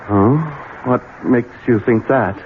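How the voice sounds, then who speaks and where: An actor playing a tense, brooding master of the house and husband in a 1970s radio drama, curt and edgy. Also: male voice, medium pitch, steady tone, slow, narrow, tinny audio, natural voice. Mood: uneasy, weary. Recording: band-limited, noisy old radio recording.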